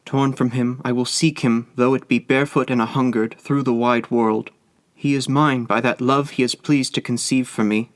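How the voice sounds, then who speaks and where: A man's voice: medium pitch, steady tone, moderate pace, dry recording, lightly acted. A man voicing a desperate young mother. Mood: defiant. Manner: impassioned, pleading.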